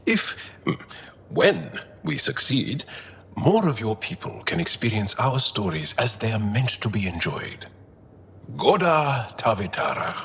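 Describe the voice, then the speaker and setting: A man playing a gentle, sentimental alien crewmate in a video game, with a measured, earnest delivery. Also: lowish voice, slight background noise, full character voice. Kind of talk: video game dialogue acting.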